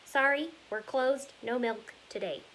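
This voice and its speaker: A woman's voice: sits high, fairly bright tone, slight background noise, poor audio. A woman reading as prim, demanding cows. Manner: slow, prim letter-reading. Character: polite but demanding herd of cows.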